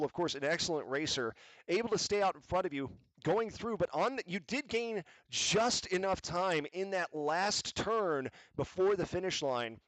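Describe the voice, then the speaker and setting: Male stream host, formal broadcaster manner, slightly high voice, dry close mic. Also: a bit bright, no echo, rough recording. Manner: formal, broadcaster-like, chatty with fillers. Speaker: league broadcaster, interviewer. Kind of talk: live stream commentary interview. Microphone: desktop mic on voice chat.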